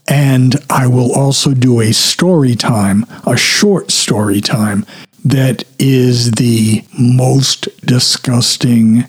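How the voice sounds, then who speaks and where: Slow, raspy-throated man hosting a podcast. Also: mid-range voice, a little mellow. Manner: slow, chatty and descriptive. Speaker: podcast host. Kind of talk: unscripted storytelling monologue.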